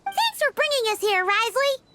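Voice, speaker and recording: A woman's voice: very high voice, sing-song, moderate pace, over loud music. A woman voicing a tiny, cheerful, curious floating fairy companion with a childlike manner in a video game. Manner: childlike, refers to self in third person.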